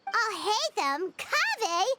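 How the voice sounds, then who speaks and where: A woman voicing a small, excitable childlike fairy companion with a squeaky voice in a video game. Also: takes it slowly, very noisy, exaggerated voice. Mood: startled, flustered. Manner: refers to self in third person, expressive. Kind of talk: animated video game voice acting.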